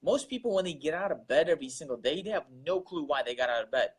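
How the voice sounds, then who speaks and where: A man's voice: fairly high pitch, expressive, fast talker, breathy edge. A young man from Russia, a guest entrepreneur, talking earnestly about his daily grind, repeating words and using many fillers. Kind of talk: casual interview talk.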